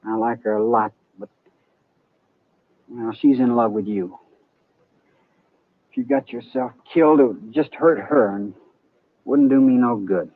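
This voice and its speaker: An actor playing a proud, plain-spoken young cowboy with a blunt manner in an old-time western radio drama. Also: male voice, mid-range voice, measured pace, pauses often, close to an everyday voice. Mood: blunt, subdued. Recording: phone-like vintage radio recording.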